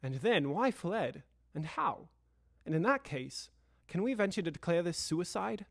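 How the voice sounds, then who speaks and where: Young guy playing worried victorian lawyer, lightish pitch, formal n dramatic, very dry audio drama recording. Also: expressive, measured pace, creaky, no echo, full character voice. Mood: fearful. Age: young adult. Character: anxious, cautious Victorian gentleman lawyer, loyal friend.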